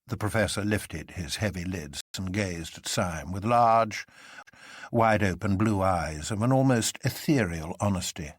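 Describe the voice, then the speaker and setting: Expressive man narrating, dry close sound. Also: low voice, unhurried, no echo. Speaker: narrator, audiobook reader. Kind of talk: dramatic scripted narration.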